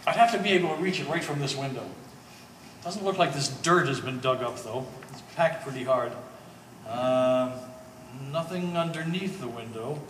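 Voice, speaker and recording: A man playing a wry, excitable treasure hunter in a stage play, thinking aloud in halting phrases. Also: mid-range voice, recorded from a distance, lightly acted. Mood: musing, wry. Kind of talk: live one-act stage play. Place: art gallery performance space. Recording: echoey hall from afar, faint noise.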